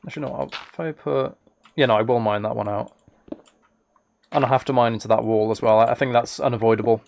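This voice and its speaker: A man casually chatting on a live game stream, thinking aloud in a relaxed way, close to the microphone. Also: medium pitch, fast talker, creaky, slight background noise. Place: indoors at a computer.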